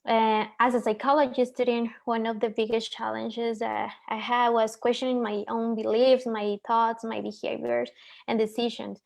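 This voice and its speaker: Woman panelist with a Mexican Spanish accent, high even voice, thoughtful and halting. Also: gravelly. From northern Mexico. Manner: earnest, reflective, some hesitant restarts. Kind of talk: webinar panel discussion.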